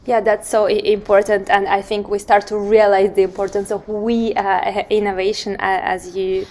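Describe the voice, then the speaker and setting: A woman's voice: medium pitch, little pitch movement, normal speed, a little mellow. A woman researcher interviewing a guest, asking questions hesitantly with frequent uhs and restarts.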